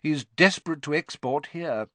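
Guy doing stuffy old official, curt and dry, impatient, raised pitch, storybook character. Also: moderate pace, fairly bright tone, no room sound, lightly acted. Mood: proper. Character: stiff, impatient elderly male official.

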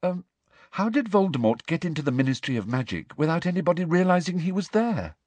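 A man's voice: a little high, sing-song, moderate pace, breathy edge, no room sound, voice pushed up. A man voicing an anxious, earnest teenage girl witch who pleads and reasons in an audiobook. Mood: anxious, doubtful. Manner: worried, hesitant yet persistent. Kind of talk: audiobook character acting.

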